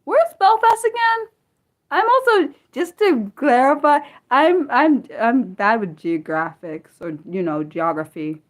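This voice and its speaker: An American woman hosting a casual livestream, rambling and laughing often, trailing off with self-deprecating asides and swinging from loud bursts to soft mumbles. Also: sits high, big pitch swings, unhurried. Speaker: livestream host. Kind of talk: casual unscripted livestream chat, answering viewers.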